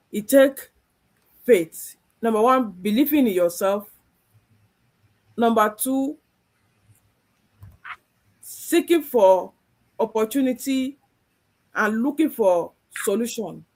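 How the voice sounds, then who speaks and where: A husky woman from Nigeria hosts a live stream. She speaks earnestly and circles back over her points. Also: mid-range voice, darkish tone. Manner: earnest, repeats herself. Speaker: host.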